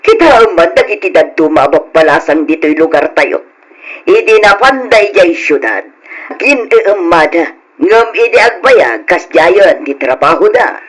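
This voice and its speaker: Boastful village gossip, middle-aged, Ilocano accent, mocking, exaggerated melodic swings, old radio drama. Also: female voice, on the low side, big pitch swings, a little slow, low-quality recording. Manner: taunting, gossipy, exaggerated sing-song swings.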